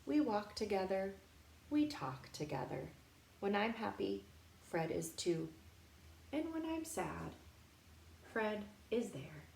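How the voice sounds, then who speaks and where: A woman reading a picture book aloud in a playful, expressive way, pausing to put questions directly to young children. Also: mid-range voice, creaky. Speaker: storytime reader for young children. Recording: roomy echo, faint background noise.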